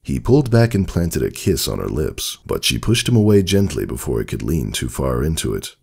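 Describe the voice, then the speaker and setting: A man narrating a story as a dramatic scripted reading, with a gravelly, husky voice and expressive literary delivery. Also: rumbling low, brisk.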